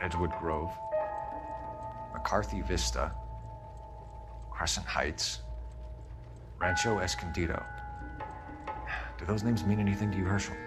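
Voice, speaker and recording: An actor playing a serious, determined 1940s police detective in a video game, brisk and businesslike. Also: male voice, low-pitched, steady tone. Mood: serious, probing. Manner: clipped, businesslike. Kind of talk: cinematic video game acting, 1940s noir. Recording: loud music behind the voice.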